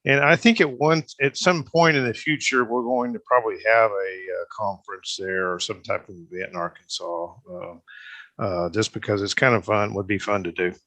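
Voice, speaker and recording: A man being interviewed as a guest on a radio show, answering in a relaxed, rambling way with frequent pauses. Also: lowish voice, no echo. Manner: relaxed, rambling, hesitant with fillers. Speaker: guest, association president.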